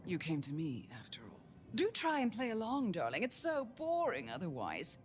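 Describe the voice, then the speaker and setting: A woman's voice: mid-range voice, wildly swooping pitch, normal speed, a little hiss or hum. A woman playing a coy, bored, imperious vampire socialite in a video game, drawling and condescending. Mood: teasing, probing.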